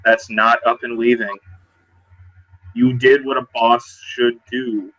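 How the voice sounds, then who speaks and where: A man narrating and improvising in character as a role-playing game player, in a halting, conversational way. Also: mid-range voice, flat delivery, fairly bright tone, muffled recording.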